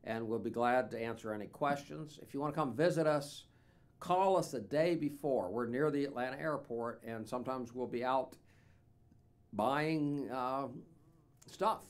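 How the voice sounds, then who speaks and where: A man's voice: mid-range voice, a little slow. A man, seated in a small studio, talking casually about a guitar he is selling, with lots of fillers. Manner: relaxed, rambling with many fillers. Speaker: instrument dealer. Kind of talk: casual product demo.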